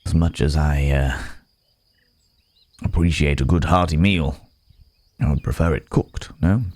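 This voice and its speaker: A man's voice: rumbling low, fairly even intonation, measured pace, darkish tone, no echo. A man playing a courteous, guarded werewolf from the city in an intimate ASMR roleplay, speaking in hesitant, formal, polite phrases. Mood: sheepish, genial.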